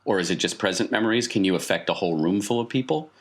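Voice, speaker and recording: A man runs an improvised tabletop game as its game master. His voice is fairly low as he narrates and explains to the players. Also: racing.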